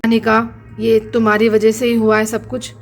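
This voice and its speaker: A woman's voice: on the high side, monotone, moderate pace, vocal fry, voice pushed down. A woman voicing a harsh, threatening young husband. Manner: cold, harsh scolding.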